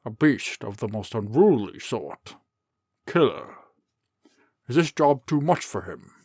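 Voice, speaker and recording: Casting: man as genial, fussy old king-mayor, highly animated delivery, pompous, dry studio sound. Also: unhurried, dry recording. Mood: troubled, fussy. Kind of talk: comic dub character acting.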